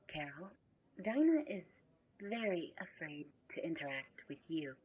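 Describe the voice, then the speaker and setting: A woman plays a meek female spirit assistant in a supernatural audio drama. The voice is very low and husky, very slow and hesitant, breaking into careful, deferential phrases. The sound is muffled. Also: exaggerated voice.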